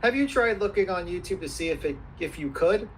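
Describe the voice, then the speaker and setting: A man questioning a witness as an attorney, formal and persistent, repeating questions deliberately. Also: high-pitched, animated, a little hiss or hum, poor audio. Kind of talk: formal deposition cross-questioning.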